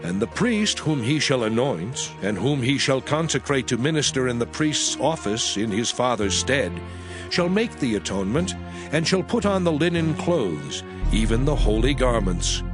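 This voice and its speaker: Solemn male scripture narrator over music. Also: medium pitch. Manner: solemn, measured, declamatory. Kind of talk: dramatized audio Bible reading, formal narration.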